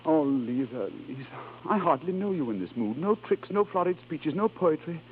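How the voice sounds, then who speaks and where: An actor playing a flustered, lovelorn estranged husband, hesitant and earnest, in 1930s radio drama style. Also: male voice, fairly high pitch, animated, moderate pace, close to an everyday voice. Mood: pleading. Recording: scratchy, tinny 1930s radio recording.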